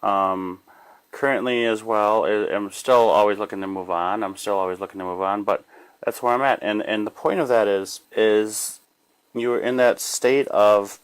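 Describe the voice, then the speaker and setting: A man giving a casual, unscripted spiritual self-help monologue in a rambling, halting way, often repeating phrases and trailing off. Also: on the low side, steady tone, smooth, low-quality recording. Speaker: spiritual life coach sharing guidance.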